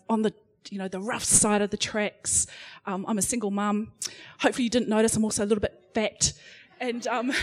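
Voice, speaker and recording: A middle-aged woman speaks on a live panel in front of an audience. She is candid and self-mocking. She talks in loose spoken sentences full of "um" and restarts. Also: mid-range voice. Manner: frank, self-deprecating, many fillers and restarts.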